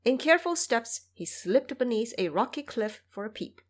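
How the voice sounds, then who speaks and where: A woman narrating an audio drama with expressive, vivid storytelling. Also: fairly high pitch, moderate pace.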